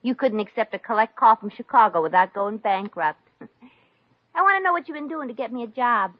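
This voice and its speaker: A woman's voice: on the high side, animated, a little fast, no echo. An actress playing a brash, wisecracking, good-hearted showgirl in a 1950s radio comedy, with a sassy, slangy delivery. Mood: sardonic, needling. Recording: dull old radio recording, faint music.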